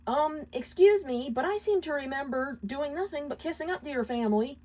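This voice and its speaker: A woman plays an imp husband at the end of his tether in a storybook drama. His voice is pushed up high, argumentative and pleading, full of exasperation. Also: lively intonation, brisk, a little mellow. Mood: exasperated, defensive. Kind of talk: dramatic storybook character reading.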